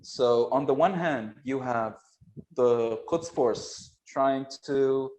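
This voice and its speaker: A man speaking as a guest expert in a remote interview, analytical but halting, with frequent fillers and restarts. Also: medium pitch, darkish tone. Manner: hesitant, many restarts and fillers, quick laughs. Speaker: guest expert on Iranian affairs.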